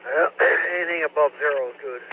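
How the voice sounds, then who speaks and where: A man's voice: fairly high pitch, brisk. Laid-back Newfoundland man over radio. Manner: laid-back, short replies. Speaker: ham radio operator. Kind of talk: casual radio chat. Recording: dull radio transmission with faint noise.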